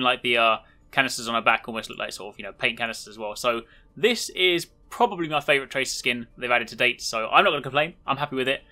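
A man's voice: medium pitch, sharp tone. A man hosting a gaming video, giving chatty, informal, enthusiastic showcase commentary.

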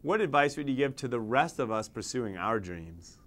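A man's voice: mid-range voice, lively intonation, normal speed, fairly bright tone. A middle-aged man hosting a TV interview show, introducing and questioning his guest with enthusiasm. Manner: enthusiastic, showy. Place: TV studio.

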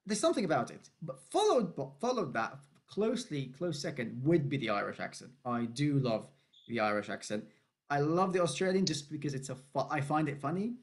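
A man's voice: fairly high pitch, expressive, brisk. A Syrian-born man in his thirties hosting a podcast, chatting casually with lots of restarts and laughs. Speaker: podcast host. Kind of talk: casual interview chat.

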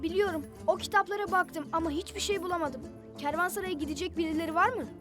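A squeaky-high cartoon child, inquisitive and fast-talking. The young friend speaks over clear background music in a children's animation. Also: female voice. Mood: matter-of-fact. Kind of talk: animated children's series voice acting.

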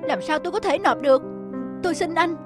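Woman playing middle-aged delivery man, high voice, northern Vietnamese accent, anxious, stammering, pleading, cartoon dub, clear music behind. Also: lively intonation, a little fast. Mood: desperate. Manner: apologetic, stammering, imploring. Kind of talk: cartoon dubbing. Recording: music under the dialogue.

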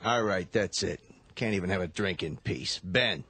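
An actor playing a cocky, threatening mob boss in a radio drama with music underneath. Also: male voice, sits low, normal speed, pushed and bright, slightly rough, full character voice. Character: menacing male mob boss, middle-aged.

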